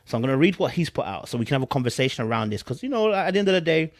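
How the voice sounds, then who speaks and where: A man's voice: a little high, very animated, very fast, no echo, studio quality. A man with a London accent hosting casual online commentary, reading aloud and reacting in informal, slangy speech with emphatic repetition. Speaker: YouTube commentary host.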